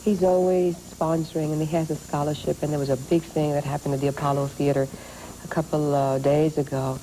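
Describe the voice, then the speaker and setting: A woman's voice: low voice, level tone, fairly quick, mellow, slight background noise, low-quality recording. A woman co-hosting an interview, asking questions hesitantly and searching for words. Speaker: co-host.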